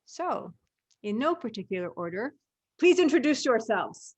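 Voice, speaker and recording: Woman host, kinda high voice, casual chatting, jokey and teasing. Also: sing-song, moderate pace, husky. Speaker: host of a cast discussion. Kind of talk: casual chat.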